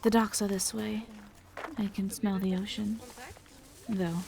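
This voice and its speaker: A woman playing a gentle, courteous female vampire in a roleplay audio drama, soft-spoken, polite and a little hesitant. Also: mid-range voice, flat delivery, unhurried, creaky. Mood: calm, considerate.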